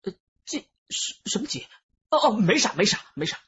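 Young loafer, guilt-ridden, stammering, swooping intonation, muffled recording, radio drama. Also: male voice, high voice, very animated, a little fast, a bit bright, a little hiss or hum. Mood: panicked, remorseful. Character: hot-headed young male loafer, desperate and guilt-ridden. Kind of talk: Chinese crime radio drama acting.

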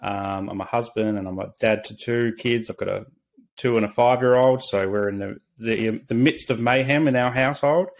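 Gravelly Australian man in his thirties. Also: mid-range voice, normal speed. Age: 30s. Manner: earnest, frequent fillers and restarts. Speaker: podcast guest, social worker. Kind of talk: casual interview, personal story. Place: small home office. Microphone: video call webcam.

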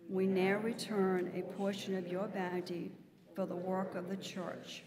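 An older woman reading a formal prayer as liturgist in a large church, solemn and measured. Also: medium pitch, level tone, crowd noise. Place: large church sanctuary at the altar. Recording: reverberant church, congregation sounds.

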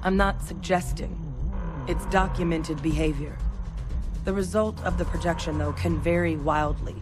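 An actress playing a tough, earnest soldier in a video game, explaining in a serious, matter-of-fact way. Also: female voice, on the low side, moderate pace, music in the background, lightly acted. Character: tough, earnest soldier, expert on alien creatures. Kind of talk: video game character dialogue.

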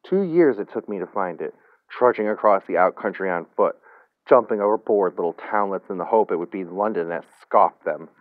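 A man's voice: low-pitched, expressive, normal speed, no echo, phone-quality sound. A man voicing an angry young woman in a story, curt and mocking, set on revenge. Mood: bitter, furious.

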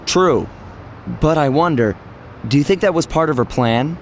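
An actor playing an eager young field agent in a video game, determined, boyish and brash. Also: male voice, a little high, expressive, moderate pace, slight background noise, close to an everyday voice. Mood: recounting. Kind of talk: video game cutscene acting and narration.

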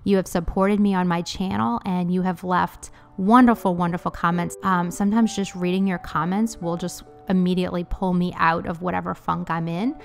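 A woman's voice: fairly low pitch, fairly even intonation, normal speed, creaky, hardly any pauses, soft background music. A woman in her thirties giving an earnest, encouraging voice-over for her cleaning vlog. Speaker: cleaning vlogger.

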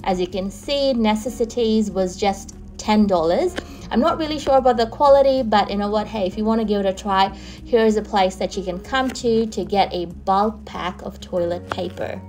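A woman's voice: mid-range voice, moderate pace, a little mellow. A young adult woman narrating a casual shopping tour as a vlogger, chatty and upbeat as she points out products and prices. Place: in a car on the motorway.

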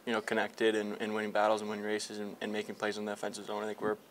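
A man's voice: on the low side, completely flat delivery, racing, slight background noise, poor audio. A young male hockey player in a locker room after a game, answering reporters with many fillers and restarts. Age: young adult. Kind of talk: post-game media scrum. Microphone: several reporters' handheld mics held close.

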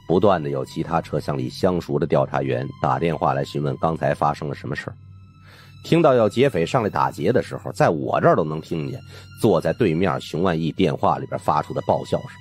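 A man's voice: sits low, a little mellow. A man narrating a suspense audiobook in the first person, reading from a script.

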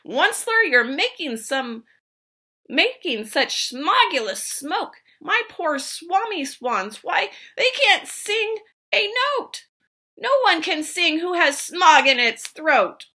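A woman's voice: very high voice, very animated, takes it slowly, bright, forceful tone, pitched above the performer's own voice, exaggerated voice. Woman putting on a querulous old forest creature. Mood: scolding. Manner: querulous, coughing croak. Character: fussy old male forest creature, scolding.

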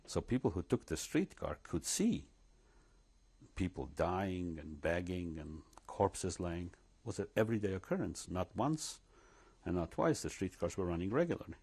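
An older man from Poland, seated in a living room with a lapel mic, recounting his memories slowly and reflectively, with many pauses and restarts. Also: sits low, expressive, darkish tone, breathy edge. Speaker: interviewee.

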